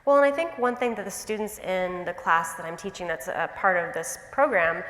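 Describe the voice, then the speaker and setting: A woman's voice: lowish voice, a bit bright. Woman moderator in a roomy, echoing hall. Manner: measured, with filler pauses. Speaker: professor and moderator. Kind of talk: onstage interview questions. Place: university auditorium. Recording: roomy hall with long reverb.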